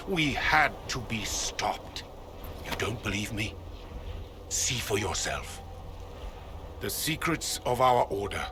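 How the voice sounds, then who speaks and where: An actor playing a grave, fanatical leader of a holy order in a video game, measured and lecturing. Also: male voice, fairly low pitch, background noise. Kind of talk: dramatic video game villain monologue. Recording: prominent music behind the voice.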